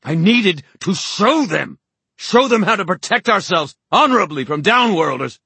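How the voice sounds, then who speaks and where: Fanatical male politician, voiced by a male narrator, ranting, strident, pushed loud and high, for a fantasy audiobook. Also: big pitch swings, normal speed, creaky, husky, no echo, exaggerated voice. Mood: screaming rage. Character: fanatical, bigoted, screaming father, a political leader.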